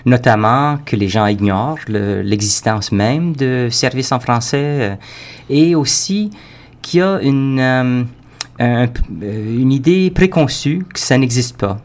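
A man of medium pitch answers as a guest on a discussion program. He speaks in a halting way, pausing often on fillers while building his point. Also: lively intonation. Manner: hesitant, many fillers. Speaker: executive director guest. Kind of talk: panel discussion.

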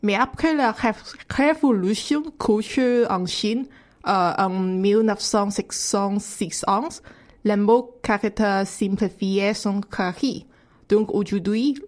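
A woman's voice: medium pitch, measured pace, a little mellow. A woman whose first language is Cantonese, speaking French with a Cantonese accent as a radio columnist, explaining carefully with many hesitations. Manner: hesitant, frequent "euh" fillers, careful second-language French. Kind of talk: radio segment chat.

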